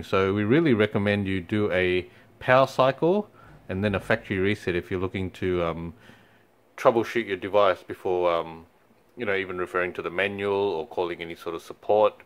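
A young man, casually walking viewers through resetting a device, with plenty of ums along the way. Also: lowish voice. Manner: relaxed, many fillers. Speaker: company product presenter. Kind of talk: casual how-to walkthrough. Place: indoors in front of a TV screen.

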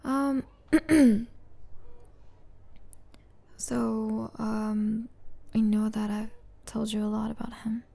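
A woman playing an apologetic, overwhelmed young female student in an ASMR roleplay, with a soft, whispery, hesitant voice. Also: a little high, flat delivery, exaggerated voice. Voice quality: soft, breathy, often whispery. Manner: hesitant, halting.

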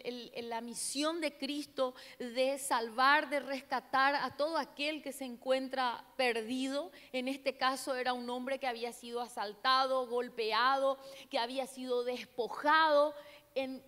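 A woman's voice: high-pitched, a bit bright. A middle-aged woman, Portuguese her first language, preaching a sermon in an earnest, searching way, restarting words often, on a handheld mic. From Paraguay, Brazil border. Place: large church stage. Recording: long church hall reverb.

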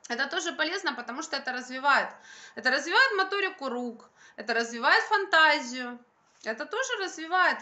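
A woman's voice: high voice, pushed and bright. A young woman vlogger chatting casually to her phone indoors, talking emphatically and colloquially with repeated phrases. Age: young adult. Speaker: vlogger and mother. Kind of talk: casual chat to camera. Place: indoor room by a window. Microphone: phone at arm's length.

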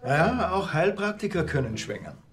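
A man's voice: high-pitched, very animated, moderate pace. An actor playing a curt, sarcastic small-town policeman with a Bavarian accent in a TV crime comedy. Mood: dry. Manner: curt, dry.

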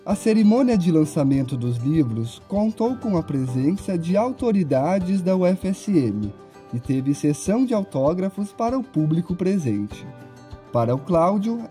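High-voiced young male TV reporter. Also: sing-song, normal speed, warm tone, faint music behind. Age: young adult. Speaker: television reporter. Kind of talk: scripted news report narration.

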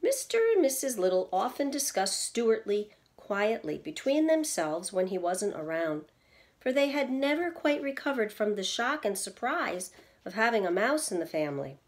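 A middle-aged woman reading aloud from a children's book like a teacher, addressing young listeners in a deliberate, explanatory way. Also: mid-range voice, sing-song. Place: indoors at home, seated in an armchair in a small room.

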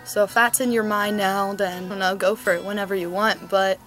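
A woman's voice: medium pitch, steady tone, a bit bright, faint music behind, low-quality recording. A nineteen-year-old woman talking casually and earnestly to the camera, filmed outdoors in a cold, sunny yard with a camera mic. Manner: chatty, earnest, quick asides. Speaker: vlogger. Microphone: camera mic, medium shot.

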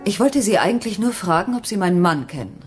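An actress playing an anxious but determined wife in a German radio crime drama, speaking in a tense, insistent way. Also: female voice, medium pitch, animated, fast talker, faint music behind, close to an everyday voice. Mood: guarded.

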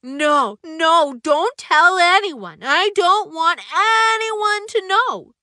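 Shy fretful young boy in a storybook, high and bright, voiced by a woman narrator. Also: extremely slow, no echo, clean studio sound, heavily acted. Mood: pleading. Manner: pleading and insistent.

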